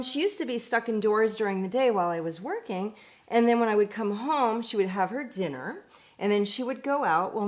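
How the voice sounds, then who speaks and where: A woman reading a picture book aloud in an expressive, deliberate way, with chatty asides. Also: medium pitch. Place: indoors at home.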